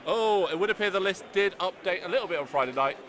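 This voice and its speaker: A British man hosting live commentary of a miniatures tournament, speaking loudly and chattily with a self-deprecating touch. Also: high voice, lively intonation, fast. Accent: British English. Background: crowd chatter of a tournament hall.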